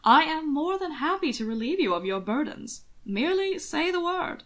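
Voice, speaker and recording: A woman's voice: sits high, very animated, moderate pace, fairly bright tone, pitched above the performer's own voice. A woman voicing a smug, needling male cousin in an audiobook story, gleeful and teasing.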